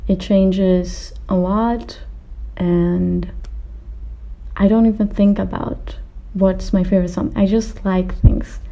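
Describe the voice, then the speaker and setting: Woman, 20s, video creator. Very soft-edged, dark tone, informal rambling Q&A delivery, a little hesitant. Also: medium pitch, fairly even intonation, takes it slowly, rough recording. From Austria and Greece. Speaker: video creator answering viewer questions. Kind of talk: casual chat to camera.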